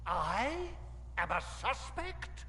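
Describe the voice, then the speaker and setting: A man's voice: high voice, sing-song, takes it slowly, sharp tone, exaggerated voice. An actor playing a suspicious, haughty male church official who speaks in accusations. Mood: accusing. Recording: reverberant game audio with heavy noise.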